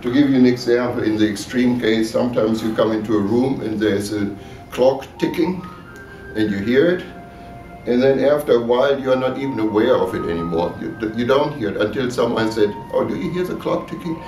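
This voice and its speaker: A man giving a measured technical explanation in an interview, checking that he is understood. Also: medium pitch, a little mellow. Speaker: loudspeaker designer. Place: exhibit room at an audio show. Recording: echoey room, distant mic, music behind.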